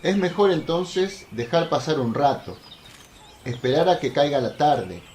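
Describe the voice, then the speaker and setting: An actor playing a humble, plain-spoken apostle, earnest and talkative, in a religious radio drama. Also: male voice, a little high, expressive, a little slow, darkish tone. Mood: devoted, practical. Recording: roomy echo, faint noise, birdsong behind.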